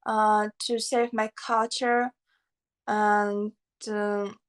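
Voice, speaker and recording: A woman whose first language is Buryat, speaking slow, simple English with fillers as a guest on a video call. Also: medium pitch, flat delivery. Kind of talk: casual video-call chat.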